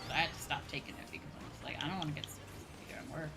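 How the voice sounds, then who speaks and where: A woman's voice: sits low, rapid-fire, heavy background noise. A young woman chatting casually and playfully with viewers on a livestream as a game streamer. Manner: animated, playful, conversational. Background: music.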